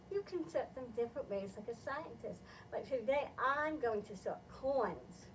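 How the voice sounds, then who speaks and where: A woman with a fairly high voice leads a storytime for young children, speaking in simple, instructive phrases. She sounds like a library host reading and teaching. There is a faint rumbling noise in the recording. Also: recorded from a distance, poor audio. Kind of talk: read-aloud picture book and simple science explanation for young children.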